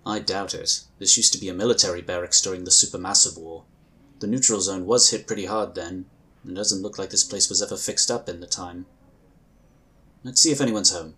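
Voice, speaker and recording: A man playing a composed, dutiful psychic agent in a sci-fi audio drama, speaking in a formal, earnest, measured way. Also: sits low, bright, forceful tone, a little hiss or hum. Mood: musing.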